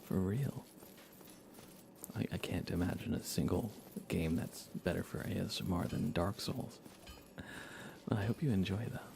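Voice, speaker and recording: A man doing relaxed live ASMR game commentary, chatting softly and unhurriedly with his viewers. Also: low voice, a little slow, gravelly, pauses often, noisy background.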